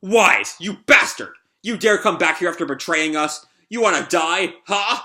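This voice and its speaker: Man acting a bright-voiced, bullying gang boss. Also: fairly high pitch, lively intonation, normal speed. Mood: furious. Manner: threatening, bullying. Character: menacing hulking male gang boss, cruel and short-tempered.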